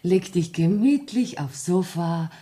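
An actress playing a concerned, gentle, soothing girlfriend in a German radio play. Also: fairly low pitch, animated, takes it slowly. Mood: coaxing.

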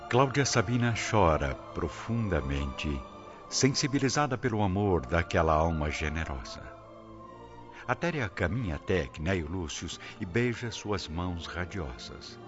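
Male narrator, deep and solemn, dramatic scripted delivery, muffled audio over soft music. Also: very animated, a little slow.

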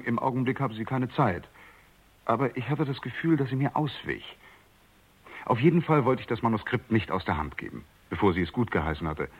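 An inquisitive young man of the press in a radio play. His voice is deep and husky, his manner courteous but persistent. The sound is muffled, like an old broadcast. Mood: earnest narrating. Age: young adult. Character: eager, polite, inquisitive young male reporter. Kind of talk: radio drama acting and narration. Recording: dull vintage radio recording.

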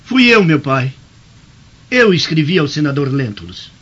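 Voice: medium pitch, a little slow, husky, exaggerated voice. A performer acting in a radio drama as an eager, earnest young boy, a Roman senator's son. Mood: anxious. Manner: excitable, earnest. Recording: dull lo-fi radio drama recording.

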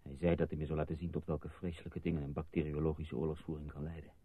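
A man's voice: rumbling low, flat delivery, fast talker, noisy background. An actor playing an urgent, emphatic male newspaper journalist in a Dutch radio play. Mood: grim, urgent. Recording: muffled phone-like old radio recording.

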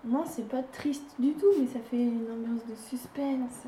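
Woman, high bright voice, playful chatter, roomy sound. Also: fairly even intonation, measured pace, slight background noise. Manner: playful, teasing questions. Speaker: participant in group chat. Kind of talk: casual chat. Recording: roomy recording with music underneath.

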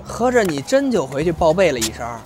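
A young man with a northern Mainland Chinese accent, acting a cocky, quick-tongued, open-hearted character in an audio drama. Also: on the high side, big pitch swings. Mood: sheepish. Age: young adult. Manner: sparring, colloquial. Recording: music behind the voice.

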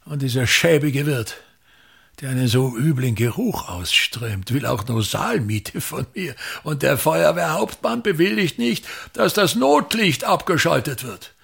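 Radio drama: self-important older patriarch of the stage, creaky voice, very animated pitch, pompous delivery. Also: male voice, a little slow, heavily acted. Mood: scornful, aggrieved. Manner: pompous, declamatory, emphatic repetitions. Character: pompous, tyrannical older actor-patriarch, grandiose and aggrieved. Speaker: actor. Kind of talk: theatrical radio drama monologue.

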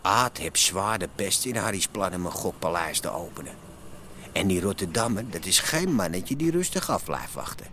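An actor as the street-wise narrator of an underworld radio drama, chatty and slangy, confiding in the listener. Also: male voice, low-pitched, expressive, moderate pace, pushed and bright, a little hiss or hum.

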